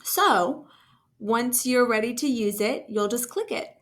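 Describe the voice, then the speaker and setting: A woman talking through a casual screen-share software tutorial as a trainer, in an informal, enthusiastic and encouraging way. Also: a little high, measured pace. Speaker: school district technology trainer.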